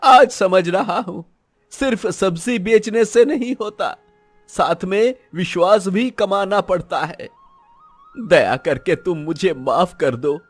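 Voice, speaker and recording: A man's voice: high-pitched, slow pace, faint music behind. A man playing an emphatic, melodramatic vegetable seller in a moral-story cartoon. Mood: pleading. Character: scheming, jealous male vegetable seller, later remorseful.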